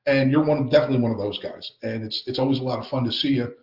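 A man's voice: mid-range voice, steady tone, very fast. A man hosting a podcast, chatting and interviewing informally in a loose, rambling, jokey way. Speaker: podcast host. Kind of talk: casual chat and interview. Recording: roomy, muffled band-limited podcast sound.

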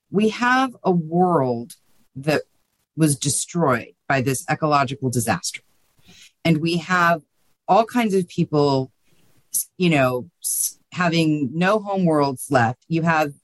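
A woman's voice: low-pitched, no echo. A woman answering interview questions as a guest writer, thoughtful and informal, often checking in with the listener. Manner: thoughtful, informal, peppered with you know and right.

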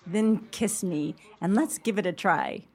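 A woman's voice: mid-range voice, unhurried. An actress playing an emotional, earnest woman talking with a close friend in a radio play. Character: woman friend, emotional, sports-loving, turning sweetheart.

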